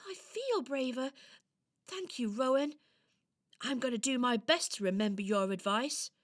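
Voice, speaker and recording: Woman acting high, hesitant, earnest young heroine. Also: animated, unhurried, no room sound, clean studio sound. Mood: grateful. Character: timid young female hero, earnest, trying bravery. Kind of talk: children's radio play acting.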